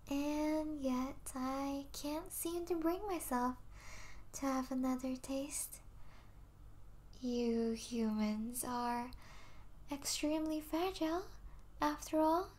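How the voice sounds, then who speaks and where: A woman playing a possessive yet tender vampire princess in an intimate ASMR roleplay, speaking softly with regal, doting manners and breathy asides. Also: high-pitched.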